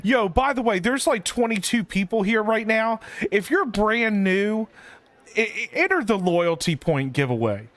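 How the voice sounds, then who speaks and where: A man's voice: sits high, expressive, a little slow, fairly bright tone, no room sound. A man chatting casually on a live game stream, slangy and repeating himself. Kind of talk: casual live-stream chat while gaming.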